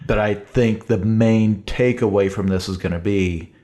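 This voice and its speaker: A man's voice: lowish voice, measured pace, dark tone. A man talking on a casual podcast with dry, mock-boastful humor. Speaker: podcast guest, author.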